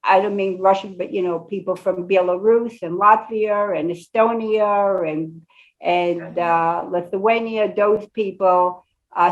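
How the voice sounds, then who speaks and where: Slow, hesitant older woman interviewer. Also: mid-range voice. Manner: hesitant, searching for words with many fillers. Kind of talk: interview questions. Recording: slightly dull, muffled sound.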